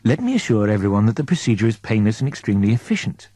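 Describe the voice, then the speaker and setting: A man's voice: fairly low pitch, sing-song, racing, warm tone, slightly rough, dry recording. A man voicing a self-assured, clinical male ship's doctor in an audiobook, precise and matter-of-fact. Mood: clinical, reassuring. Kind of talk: audiobook character dialogue.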